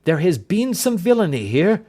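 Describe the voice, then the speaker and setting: A man voicing a sharp-minded male detective who speaks crisply and decisively. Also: sits high, very animated, normal speed, voice pushed up. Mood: urgent.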